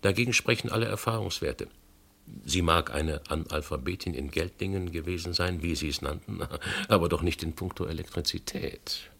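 An actor playing a shrewd, methodical police inspector in a German radio crime drama, reasoning insistently with a gravelly, husky voice. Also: male voice, sits low, a bit bright, exaggerated voice. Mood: probing, methodical. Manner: deliberate, insistent, reasoning point by point.

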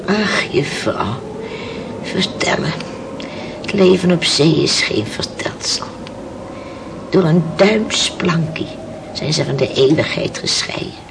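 An actress playing a weathered, resigned fisherman's widow in a radio play, telling her story haltingly in hushed tones. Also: deep voice, expressive, vocal fry, full character voice. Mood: resigned, grave. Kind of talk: Dutch radio play acting. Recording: noisy old radio play recording.

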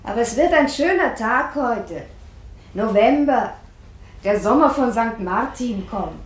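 High-voiced, eager maid. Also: female voice, animated, unhurried. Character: bright-natured, eager maid addressing her mistress. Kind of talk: radio drama acting. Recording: roomy, echoey interior sound.

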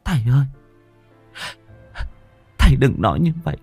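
A man's voice: sing-song, normal speed, creaky, dark tone, slightly rough, no echo, soft background music, exaggerated voice. A man voicing a young village daughter who cries and pleads with her father. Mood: sobbing. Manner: sobbing, high-pitched pleading.

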